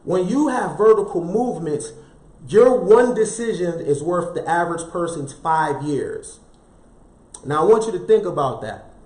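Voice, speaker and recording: A man's voice: high voice, expressive, a little slow, a little mellow. A man delivering a motivational self-help talk with a slightly raised voice. He preaches through rhetorical questions and repeated key phrases, with casual slang asides. The sound is roomy and echoey. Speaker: motivational speaker.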